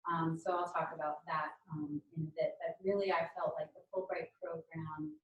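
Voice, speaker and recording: Woman in her 40s, program director, lowish voice, roomy echo. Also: little pitch movement, normal speed, highs cut off. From Ohio, United States. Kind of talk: panel self-introduction. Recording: distant mic in echoey room.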